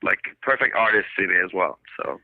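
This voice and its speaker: A man's voice: deep voice, lively intonation, a little fast, creaky, no echo. A man from Israel, a singer guest on a radio interview, talking in a hesitant way with many ums and restarts. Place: studio. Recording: tinny phone line.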